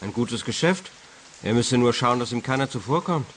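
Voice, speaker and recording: An actor playing an easygoing, naive young car mechanic in a radio play, straightforward in manner. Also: male voice, mid-range voice, animated, moderate pace, fairly bright tone, slight background noise, close to an everyday voice. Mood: earnest.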